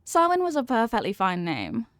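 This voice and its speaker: A woman's voice: on the high side, huge pitch swings, normal speed, no room sound. A woman playing a sweet, clumsy, centuries-old headless horsewoman girlfriend in an affectionate, sheepish, rambling way for an intimate ASMR roleplay. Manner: soft-hearted, sheepish, affectionate, rambling explanations.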